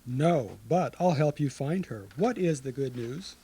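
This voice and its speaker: A man playing a friendly, helpful laboratory researcher with a husky voice in an old-time radio drama. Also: a little high, expressive, unhurried, mellow, exaggerated voice. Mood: helpful, surprised. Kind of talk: Golden Age radio drama acting.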